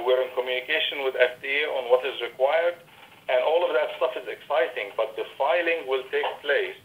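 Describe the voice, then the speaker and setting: A man's voice: medium pitch, poor audio. A man speaking as a company executive on an investor conference call, explaining plans in an assertive, emphatic way. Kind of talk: corporate earnings call presentation. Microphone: conference call phone line.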